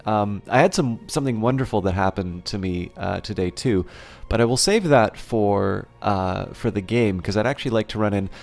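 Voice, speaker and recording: A man hosting a live game stream in casual chat, his voice slightly rough after being sick, talking in a halting, rambling way as he thinks aloud and greets viewers. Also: fairly low pitch. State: getting over a recent illness.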